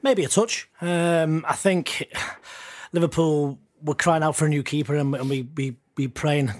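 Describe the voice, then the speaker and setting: A man's voice: a little high, steady tone, unhurried, a little mellow. A man giving his view in a casual football podcast chat, chatty and loose, often repeating words. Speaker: podcast pundit.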